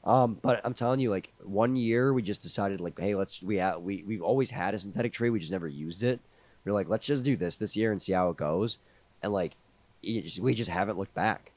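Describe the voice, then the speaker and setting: A hoarse man in his twenties, co-hosting a podcast in casual, joking chat. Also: lowish voice, darkish tone, no echo. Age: 20s. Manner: quick, easygoing, joking. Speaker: podcast co-host.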